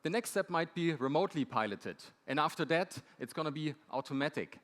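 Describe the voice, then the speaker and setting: A man's voice: a little high, lively intonation, fairly quick, a bit bright. A man moderating a conference panel, introducing the topic and speakers from the stage. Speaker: panel moderator, university lecturer. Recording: echoey hall.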